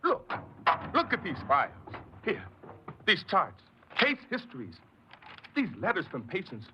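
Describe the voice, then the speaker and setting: An actor playing a desperate, earnest ex-convict in a 1950s American radio crime drama, pleading and hesitant, often repeating himself. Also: male voice, high voice, wildly swooping pitch, unhurried, full character voice. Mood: agitated pleading. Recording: muffled, hissy 1950s radio recording.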